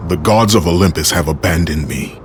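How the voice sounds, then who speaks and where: An actor playing a grim, haunted Spartan warrior in a video game cutscene, with a deep, harsh voice. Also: male voice, a little fast, background noise, lightly acted. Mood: despairing. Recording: game cutscene under loud music.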